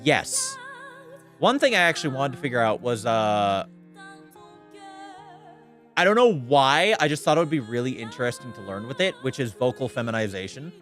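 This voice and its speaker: A man streaming, chatting casually and candidly with a clear, smooth voice, rambling and swearing freely. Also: medium pitch, very animated, normal speed, pauses often, fairly bright tone, soft background music. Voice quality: clear voice without gravel. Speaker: VTuber streamer. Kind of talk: casual livestream chat.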